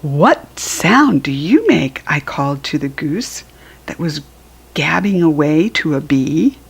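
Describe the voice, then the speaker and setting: A woman's voice: deep voice, expressive, slow, vocal fry, slightly rough. An American woman reading a picture book aloud to young children, with simple, instructive asides. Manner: acts out animal sounds while reading.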